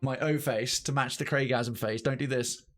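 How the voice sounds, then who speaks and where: A man's voice: medium pitch, fairly even intonation, moderate pace, faint music behind. A man hosting a game livestream, chatting casually with his viewers and repeating phrases for emphasis.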